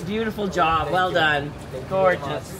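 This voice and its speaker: A woman's voice: fairly low pitch, slow pace, crowd noise. A woman in her forties chatting enthusiastically beside her partner during a walking vlog, with short giggly replies. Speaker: travel vlogger, co-host. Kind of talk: casual walking vlog chat. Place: pubs and town streets, indoors and outdoors.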